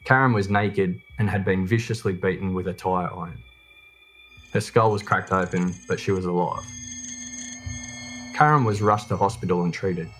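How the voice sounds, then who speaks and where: A man narrates a true crime documentary in a very low voice. His delivery is scripted storytelling, with music playing clearly behind him. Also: steady tone, normal speed, pauses often, fairly bright tone, rough recording. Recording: music bed under the voice. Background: background music.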